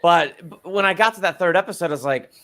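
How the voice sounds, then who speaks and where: A man talking as a panelist in a casual live discussion, thoughtful, his voice rising when he gets excited. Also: high-pitched, fast, a little hiss or hum.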